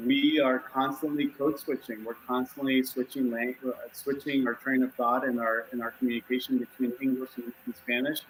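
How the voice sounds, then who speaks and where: Measured man from Los Angeles. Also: mid-range voice, fairly bright tone, a little hiss or hum, low-quality recording. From Los Angeles, United States. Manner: measured, reflective, articulate. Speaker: community leader, panel guest. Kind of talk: panel discussion.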